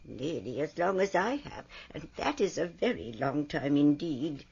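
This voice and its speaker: A woman's voice: sits low, sing-song, unhurried, creaky, a little mellow, husky, highs cut off, exaggerated voice. A woman playing a stern but affectionate old weaver who tutors and scolds in a fantasy audio drama. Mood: scolding. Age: older.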